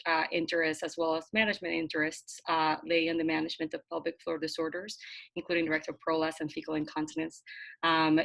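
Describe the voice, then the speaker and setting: A woman's voice: deep voice, gravelly, fairly bright tone, no room sound, low-quality recording. A woman hosting a video call, reading out a speaker introduction with frequent uh fillers.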